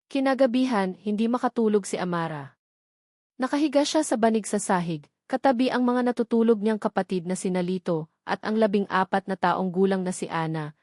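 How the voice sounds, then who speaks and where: A woman's voice: medium pitch, darkish tone, dry recording. Woman narrating a drama, very dry sound. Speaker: storyteller narrator. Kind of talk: scripted dramatic story narration.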